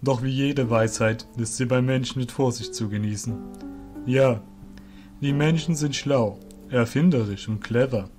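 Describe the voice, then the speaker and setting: A deep, rumbling old fairy beast master played by a man in a fantasy audio drama. He speaks formally and with measured calm, wise and wary, over faint music. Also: close to an everyday voice. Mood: calm skepticism.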